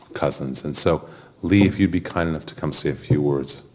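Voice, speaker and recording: A man officiating at a funeral service, giving gentle sermon-like remarks. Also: extremely low pitch, fairly quick. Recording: noisy room recording with clicks.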